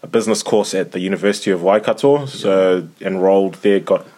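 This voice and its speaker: A man's voice: low-pitched, a little fast, warm tone. A man in his twenties from Zimbabwe, co-hosting a casual podcast, talking with lots of fillers and restarts. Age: 20s. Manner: hesitant, many fillers and restarts. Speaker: podcast co-host.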